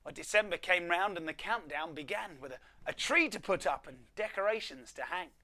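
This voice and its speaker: A performer reading a rhyming storybook aloud to children at home, with theatrical pauses and emphasis. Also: medium pitch, expressive, bright, forceful tone.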